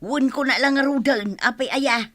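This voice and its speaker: A woman acting a chatty, eager neighbourhood lunch seller in an Ilocano radio comedy drama, animated and exclaiming. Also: high voice, a little slow, dry recording. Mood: grumbling.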